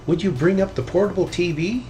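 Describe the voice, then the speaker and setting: A man voicing a caring, gently firm mother bear in a children's storybook. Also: high voice, brisk, faint music behind, pitched above the performer's own voice, natural voice.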